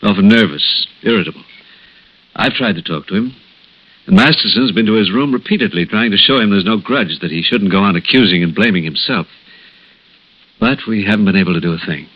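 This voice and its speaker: Low-pitched American doctor played by a male actor, solemn and hesitant, vintage radio play on a dull lo-fi recording. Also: fairly quick, close to an everyday voice. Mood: grave, explaining. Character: grave, hesitant male hospital doctor breaking bad news gently. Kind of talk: 1960s radio drama acting.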